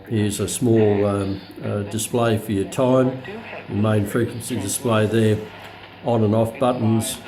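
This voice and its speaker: A man presenting a product review in a casual, unscripted way, explaining features in a hesitant, halting manner. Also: lowish voice.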